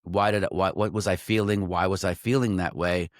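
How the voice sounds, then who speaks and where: Lowish-voiced man musing casually. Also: fairly even intonation, a little fast, no room sound, studio quality. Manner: loose and conversational, heavy on "like" and "um"; restarts and self-corrections mid-sentence. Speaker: trading coach. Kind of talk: reflective solo talk to camera.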